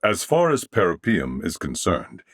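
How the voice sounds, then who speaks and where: A male actor playing a calm, courteous swordsman in a video game, speaking in formal, measured phrases. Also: sits low, no echo, studio quality, heavily acted. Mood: patient, explanatory. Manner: formal, archaic, measured diction. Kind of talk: scripted video game character dialogue.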